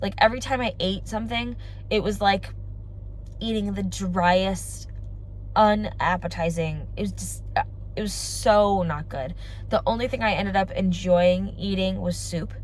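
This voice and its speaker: A woman's voice: mid-range voice, fairly even intonation, unhurried, slight background noise, poor audio. A young woman with a congested voice, chatting casually and rambling to her vlog audience. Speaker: family vlogger. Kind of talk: casual chat to camera.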